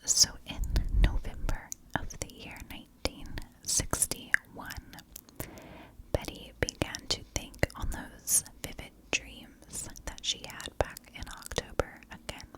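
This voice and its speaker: A woman whispers a story in ASMR style, never rising into full voice. She takes it very slowly, parcelling the narration out in short, hushed phrases like a host guiding listeners through a tale. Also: low-quality recording. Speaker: ASMR true crime storyteller, channel host.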